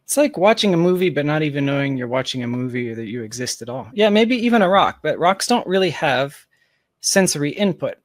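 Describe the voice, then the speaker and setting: A man's voice: fairly high pitch, sing-song, brisk, no echo. Reflective man talking casually, close dry sound. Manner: philosophical, informal, thinking aloud. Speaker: podcast guest, tech founder.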